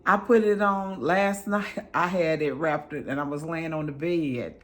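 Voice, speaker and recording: Hoarse, slow-talking woman reviewer. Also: deep voice, a bit bright. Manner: affectionate, chatty, pious greetings. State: hoarse, crackly voice after illness. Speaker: product reviewer. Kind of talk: casual product review.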